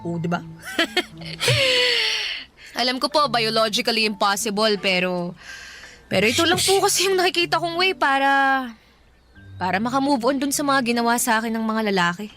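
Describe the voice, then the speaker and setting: Bright, animated young Filipina tomboy daughter. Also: female voice, fairly high pitch, moderate pace, soft background music. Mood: determined. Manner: casual, slangy Taglish, expressive. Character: stubborn, heartbroken young daughter turning tomboy. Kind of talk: Tagalog radio drama acting.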